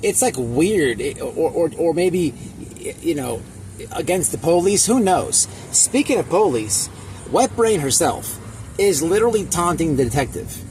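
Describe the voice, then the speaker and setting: Male online commentator, high voice, emphatic and repetitive casual rant, noisy ambient recording. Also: sing-song, a little mellow. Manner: repetitive, halting, emphatic, crude slang. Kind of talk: casual opinionated reaction rant.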